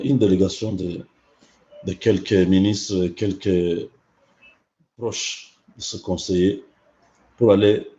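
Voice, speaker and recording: A hoarse man speaks slowly and deliberately in a lowish voice. He hesitates often and fills gaps with fillers. The sound is dull and lo-fi. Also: dark tone. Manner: slow, halting delivery with fillers. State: hoarse from a cold. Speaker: online channel host. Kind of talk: casual livestream commentary.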